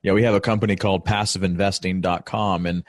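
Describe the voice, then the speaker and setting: A man hosting a podcast, asking questions in a brisk conversational way. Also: low voice, fairly even intonation. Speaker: podcast host. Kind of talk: interview questions.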